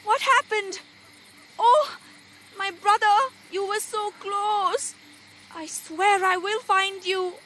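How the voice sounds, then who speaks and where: Very high, bright young heroine. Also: female voice, fairly even intonation, slow, a little hiss or hum, full character voice. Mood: distressed, determined. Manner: earnest, plaintive. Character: distressed, determined young girl heroine. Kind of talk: video game cutscene acting.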